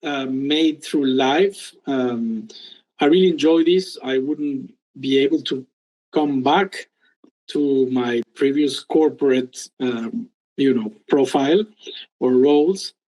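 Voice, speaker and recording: A man's voice: mid-range voice, slow, dark tone, poor audio. Hesitant man from Peru. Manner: hesitant, many ums and restarts. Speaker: guest entrepreneur. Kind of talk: casual interview talk.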